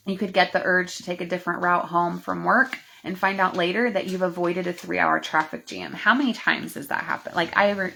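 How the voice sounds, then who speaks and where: A woman's voice: fairly low pitch, creaky, sharp tone, slight background noise. A woman coach hosting a live stream, chatting to viewers with many fillers and reading oracle cards aloud. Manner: chatty, rambling, filler-heavy, affectionate. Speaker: spiritual mindset coach.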